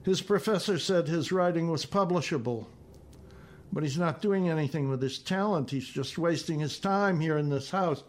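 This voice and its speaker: A man's voice: fairly high pitch, moderate pace, voice pushed up, close to an everyday voice. A man reading a short story, voicing an effusive, nagging, slightly hysterical aging mother with a gushing, insistent manner. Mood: nagging, exasperated.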